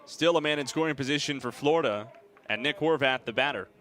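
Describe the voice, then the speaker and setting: A young American man doing live sports play-by-play, describing the action in crisp detail over venue noise. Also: mid-range voice, fast, a bit bright. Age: young adult. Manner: crisp detailed descriptions, voice raised on big plays. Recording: live game ambience, clear background noise. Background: sports venue sounds.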